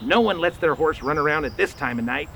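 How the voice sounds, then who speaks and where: Man acting a bossy western outlaw boss, sharp-edged. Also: fairly high pitch, animated, a little fast, creaky, slight background noise. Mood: stern, wary. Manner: curt, commanding. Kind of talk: western radio drama acting.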